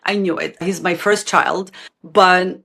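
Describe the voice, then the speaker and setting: A woman in her fifties from Algeria speaks in a fairly low voice, answering interview questions. She explains things in an earnest, matter-of-fact way and lays out each point step by step. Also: fairly even intonation, slow, fairly bright tone. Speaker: guest.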